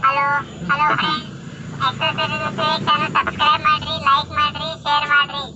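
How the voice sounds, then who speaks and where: A man with a North Karnataka accent giving a casual greeting through a pitch-raising voice changer. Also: monotone, a little fast. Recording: dull megaphone playback, noisy background.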